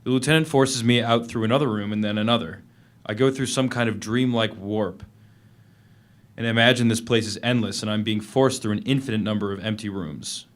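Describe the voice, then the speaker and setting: A man's voice: fairly low pitch, a little fast, close to an everyday voice. An actor playing a guarded, defiant prisoner, narrating his journal tersely in a dramatic reading of a novel. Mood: guarded, tense. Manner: plain, guarded, terse storytelling in present tense.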